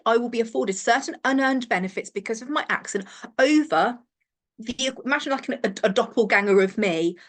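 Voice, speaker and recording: A middle-aged woman with an English accent and no strong regional accent, leading a counselling training workshop and talking thoughtfully, often pausing to search for her words and restarting her sentences. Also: fairly high pitch. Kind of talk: live training workshop talk.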